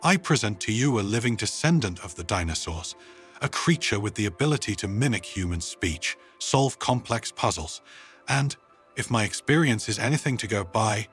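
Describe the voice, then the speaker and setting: A man's voice: lowish voice, fairly bright tone, slightly rough. A man voicing an earnest woman who gives formal, explanatory presentations in a narrated story. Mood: proud showmanship. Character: earnest woman presenting her pet to an audience.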